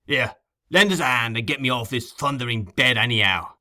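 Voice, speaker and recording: A man's voice: medium pitch, animated, brisk, pushed and bright. A man voicing a surly, brutal male thief who growls and curses, in a storybook reading. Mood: savage. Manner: growling, savage, cursing.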